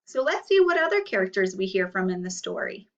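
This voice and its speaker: A woman's voice: mid-range voice, sing-song, brisk. A woman teacher leading a read-aloud lesson for children, explaining and asking questions. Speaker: elementary school teacher. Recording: slightly muffled, distant microphone.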